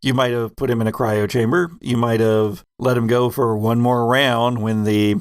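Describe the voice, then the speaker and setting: Man chatting casually in a gravelly voice. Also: mid-range voice, little pitch movement, moderate pace, no echo, studio quality. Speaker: game master of an actual-play podcast. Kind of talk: casual retrospective chat.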